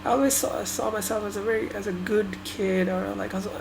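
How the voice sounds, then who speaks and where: A woman from Sri Lanka whose first language is Tamil, talking through her thoughts in a halting, hesitant way with many restarts. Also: mid-range voice, fairly even intonation, background noise. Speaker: vlogger. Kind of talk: personal reflective monologue.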